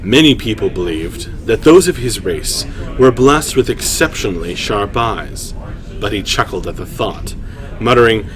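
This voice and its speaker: A man hosting a story reading, shifting between informal, halting chat and measured storytelling narration. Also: on the low side, expressive, faint music behind. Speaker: writer and host reading his own story aloud. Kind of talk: livestream story reading, narration with casual asides.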